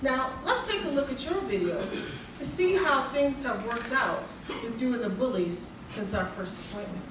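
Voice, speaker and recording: A woman acting as an encouraging self-help counselor in a stage play, speaking in a measured, preachy way to her group. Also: fairly high pitch, expressive, close to an everyday voice. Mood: warm, welcoming. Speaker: counselor and author leading a support group. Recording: echoey hall, far mic, clear background noise.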